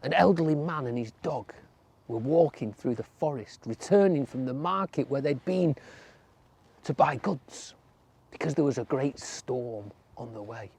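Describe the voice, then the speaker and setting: A storyteller narrating a folk tale for children in a gentle way, dropping to a whisper or drawing words out for effect. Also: mid-range voice, expressive, a little slow, creaky, husky.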